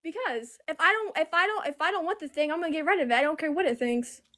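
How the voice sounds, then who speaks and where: An eighteen-year-old woman calling in with a babyish voice that sits high. She argues hesitantly, stumbling over her words and falling back on fillers. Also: quick pace, bright, forceful tone. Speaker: caller.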